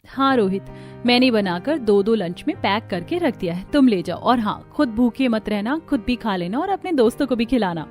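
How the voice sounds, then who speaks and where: A woman's voice: on the high side, animated, normal speed, a little mellow, natural voice. A woman voicing a caring young housewife daughter-in-law in a cartoon story, speaking politely and earnestly, eager to explain herself. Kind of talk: Hindi cartoon story dialogue. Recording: music behind the voice.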